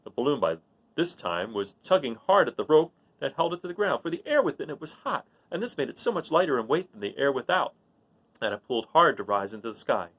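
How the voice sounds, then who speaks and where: A man's voice: mid-range voice, sing-song, a little fast, a bit bright, muffled recording. A man hosting a read-aloud series, narrating a storybook in the role of storyteller. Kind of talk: storybook narration with informal asides.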